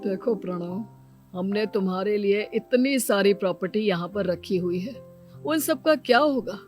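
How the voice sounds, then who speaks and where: A woman's voice: mid-range voice, a little slow, darkish tone, faint music behind, pitched below the performer's own voice, close to an everyday voice. A woman voicing a stern, authoritative elder brother and father who lectures, in a cartoon moral story. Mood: upset, lecturing.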